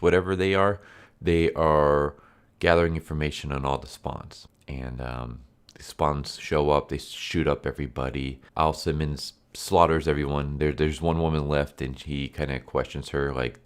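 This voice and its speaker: A man's voice: extremely low pitch, clean tone. A man reviewing a comic book in a casual, rambling way, his voice congested and stuffy from a recent illness. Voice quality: nasal, stuffy. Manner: rambling, full of fillers and restarts.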